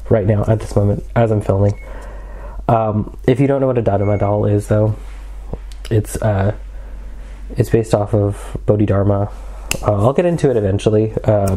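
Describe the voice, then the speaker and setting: A man's voice: on the low side, dark tone, low-quality recording. A young man with a cold and a congested voice, casually explaining history from his small home room with wry, sarcastic asides. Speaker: history video host.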